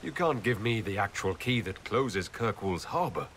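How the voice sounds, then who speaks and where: An actor voicing the player's human hero in a video game, measured and dry. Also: male voice, lowish voice, expressive, fairly bright tone, slight background noise. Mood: calm. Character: composed human male leader, the player hero.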